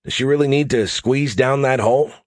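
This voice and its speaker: A man's voice: mid-range voice, brisk, no room sound, clean studio sound, voice pushed up, lightly acted. A man voicing an earnest, casual male military commander in an audiobook, informal and uneasy. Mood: pleading. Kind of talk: audiobook character voice.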